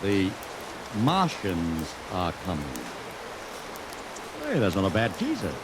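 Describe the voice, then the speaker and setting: An actor in a 1950s radio drama playing a brash, fast-talking male ad man with breezy, slangy salesman patter. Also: low-pitched, huge pitch swings, background noise, exaggerated voice. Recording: vintage radio recording under loud rain.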